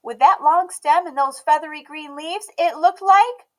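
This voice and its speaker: A woman reading a children's picture book aloud as an expressive narrator. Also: high voice, a little slow. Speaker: storybook read-aloud host.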